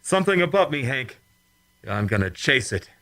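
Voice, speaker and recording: A man acting in an old-time radio drama as a shaken, insistent rocket test pilot, speaking urgently and haltingly. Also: mid-range voice, sing-song, sharp tone, no echo. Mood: alarmed, entranced.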